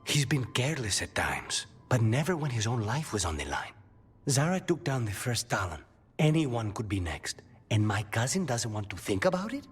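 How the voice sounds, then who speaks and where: A man's voice: mid-range voice, very animated, moderate pace, slightly rough, soft background music. An actor playing a brooding, guarded male assassin with an intense streak in a video game. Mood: worried.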